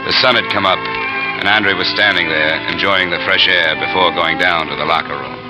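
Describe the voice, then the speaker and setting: A man's voice: sits low, steady tone, moderate pace, close to an everyday voice, low-quality recording. An actor playing a wry, hardboiled insurance investigator who narrates his case in an old radio detective show. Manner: clipped, dry, wisecracking. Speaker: narrator and lead. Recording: old radio broadcast with music under it.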